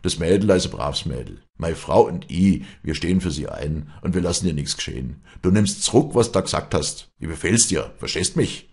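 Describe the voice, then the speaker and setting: A man's voice: sits low, sing-song, normal speed, hardly any pauses, darkish tone. A man voicing a stern, protective village innkeeper, firm and commanding.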